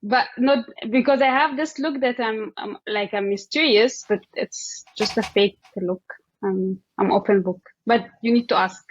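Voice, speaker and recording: A woman from Egypt with an Egyptian accent, speaking casually and haltingly as an interview guest, often saying "like" as she thinks aloud. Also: on the high side, highs cut off, a little hiss or hum.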